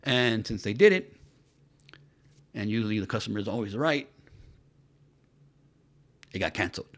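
A man's voice: fairly low pitch, animated, moderate pace, a bit bright. A man giving mocking commentary on entertainment news, sarcastic and fond of repeated rhetorical questions. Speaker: commentary channel host.

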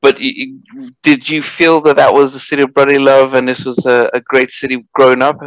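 Um homem apresentador fazendo perguntas amigáveis e um pouco hesitantes numa entrevista, usando microfone de headset. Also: male voice, medium pitch, flat delivery, fairly quick, a bit bright, no echo, poor audio. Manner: friendly, hesitant questioning. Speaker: podcast host and interviewer. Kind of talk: interview questions. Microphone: headset mic.

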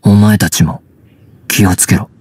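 A man voicing a guarded wild teenage boy raised by wolves in a video game, speaking in terse, halting, simple phrases. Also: lowish voice, steady tone, darkish tone, slight background noise, lightly acted. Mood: calm, plain. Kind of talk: Japanese anime video game voice acting.

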